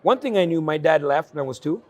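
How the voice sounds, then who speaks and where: A man in his forties from El Salvador, a guest speaking earnestly at an investment conference about his life, with frequent fillers and quick laughs. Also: on the high side, expressive. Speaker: guest entrepreneur. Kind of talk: candid conversational storytelling.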